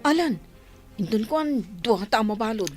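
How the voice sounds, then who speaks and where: A woman's voice: fairly high pitch, expressive, moderate pace. A woman acting a reluctant, indignant young woman in an audio drama, with an Ilocano accent. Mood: angry, alarmed. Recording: faint music behind the voice.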